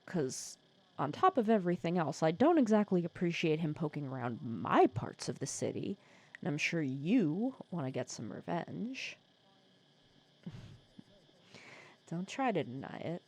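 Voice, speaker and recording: A woman playing a soft, low, sly villainess. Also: very animated, measured pace, pauses often, husky, heavily acted. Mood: resolute. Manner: casual, teasing, persuasive, sardonic. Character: sly female supervillain, secretly caring, courting her hero nemesis. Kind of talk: intimate ASMR audio roleplay.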